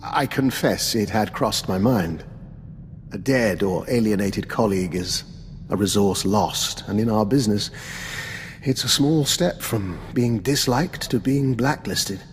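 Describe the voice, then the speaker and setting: An actor playing an urbane, calculating old doctor and veteran spy in a video game, with a refined, courteous, understated manner. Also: male voice, lowish voice, unhurried, big-room sound, a little hiss or hum, close to an everyday voice. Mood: genial, musing. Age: older. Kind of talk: video game dialogue acting.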